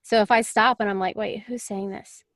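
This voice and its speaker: A woman's voice: mid-range voice, steady tone, normal speed, dry recording, studio quality. A woman talking casually and chattily as an audiobook narrator on a panel.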